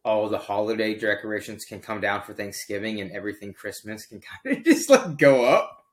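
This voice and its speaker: A man's voice: lowish voice, expressive, a little fast. A man in his early thirties co-hosting a podcast, chatting casually and rambling with fillers. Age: 30s. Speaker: podcast co-host. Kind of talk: casual chat.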